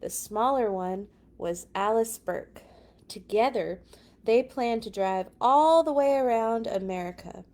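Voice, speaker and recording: Expressive woman reading a children's story aloud. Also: fairly high pitch, unhurried. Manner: expressive, dramatic emphasis with chatty asides to listeners. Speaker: children's read-along storyteller. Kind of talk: animated children's picture-book read-aloud.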